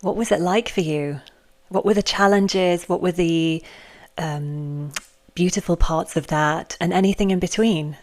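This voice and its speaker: A woman hosting a podcast and asking interview questions with eager curiosity, speaking in quick bursts. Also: fairly low pitch, darkish tone. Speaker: podcast host.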